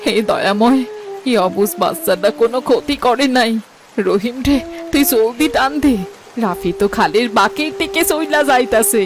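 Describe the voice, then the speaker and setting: A woman's voice: a little high, lively intonation, moderate pace, music in the background, close to an everyday voice. A woman playing a devout, caring village mother with a rural Bangladeshi accent in a moral story. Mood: desperate, praying.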